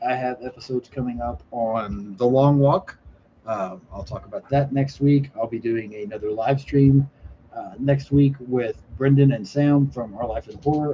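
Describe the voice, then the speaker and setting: A man hosting a casual horror podcast, relaxed with lots of ums. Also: medium pitch. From Oklahoma. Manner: relaxed, frequent fillers, laughs often. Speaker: podcast host. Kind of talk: casual chat. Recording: dull lo-fi sound, faint noise.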